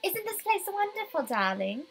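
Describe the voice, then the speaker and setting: A woman's voice: extremely high pitch, sing-song, normal speed, gravelly, fairly bright tone, soft background music, pitched above the performer's own voice, heavily acted. A woman voicing an enthusiastic, bossy rich wife in a visual novel, gushing and emphatic.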